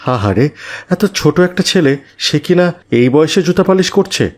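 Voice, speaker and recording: A man voicing a kindly, wealthy, fatherly businessman in a children's moral cartoon, gentle and sympathetic. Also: a little high, moderate pace, natural voice.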